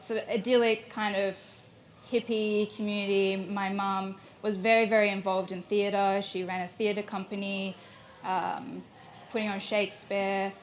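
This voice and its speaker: A woman's voice: medium pitch, level tone, a little slow, a little hiss or hum. A young woman from New Zealand speaks at a live gallery talk, reflective and a little hesitant. She often thinks aloud as she answers. Faint room ambience sits behind her handheld mic. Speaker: painter, invited artist. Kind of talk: live public conversation. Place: gallery space with an audience. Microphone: handheld microphone.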